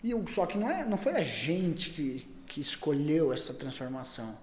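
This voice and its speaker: Chatty man with a slightly high voice. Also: sing-song, moderate pace, darkish tone, in a large hall. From Brazil. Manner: talks at length, many repeated words and restarts. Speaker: pet store chain owner, guest. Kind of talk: casual podcast conversation.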